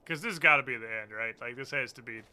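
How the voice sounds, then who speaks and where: Very bright-voiced, irreverent male game streamer. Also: mid-range voice, quick pace, clean tone. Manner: irreverent, sweary, teasing. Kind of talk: casual joking live game commentary.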